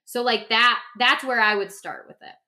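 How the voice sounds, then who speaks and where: A woman co-hosting a podcast in a casual, reflective conversation, talking in an animated, informal way with lots of "like". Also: on the high side, normal speed. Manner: animated, informal, peppers talk with "like". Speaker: podcast co-host.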